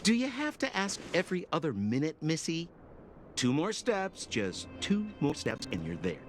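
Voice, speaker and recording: An actor playing a smooth-talking young trickster guide in a video game, playful and showy. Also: male voice, fairly high pitch, huge pitch swings, moderate pace. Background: game music.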